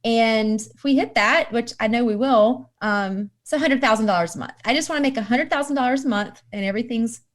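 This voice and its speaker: Woman in her thirties with a high-ish voice, upbeat and quick to laugh, interview guest. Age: 30s. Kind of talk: casual interview answers.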